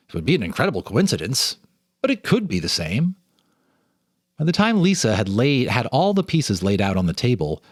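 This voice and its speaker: A man's voice: fairly low pitch, husky, dry recording, studio quality. A man reading a ghost story aloud as a storyteller, with a theatrical, suspenseful delivery. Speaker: storyteller, host.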